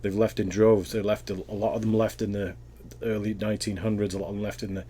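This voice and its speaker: A man with a Welsh accent and a fairly low voice, speaking in a loose, thoughtful way. He wanders through his answers and restarts his sentences often, the way people do in a casual interview. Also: flat delivery, fast talker, warm tone, slight background noise. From Wales.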